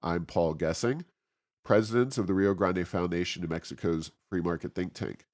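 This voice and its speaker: An American man hosting a conversational interview, speaking haltingly as he searches for his words. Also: low-pitched, darkish tone. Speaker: podcast host and think tank president.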